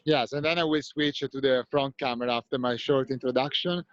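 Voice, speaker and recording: An Italian-accented man opens a virtual tour of his city, friendly and a little halting, with uh between phrases. The audio is dry and muffled, as from a laptop call. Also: medium pitch, dry recording. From Venice, Italy. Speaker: tour guide. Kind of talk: live virtual tour introduction. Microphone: video call.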